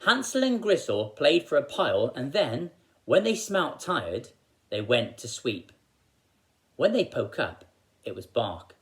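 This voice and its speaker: Animated man telling a children's story. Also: medium pitch, unhurried, poor audio.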